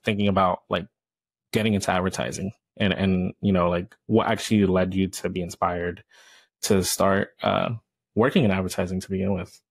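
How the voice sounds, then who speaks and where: Young male host, lowish voice, casual and hesitant with many fillers, dry close mic. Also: no room sound. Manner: hesitant, loose, filler-heavy questioning. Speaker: podcast host. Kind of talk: casual chat interviewing. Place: small indoor room, on a couch. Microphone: handheld microphone.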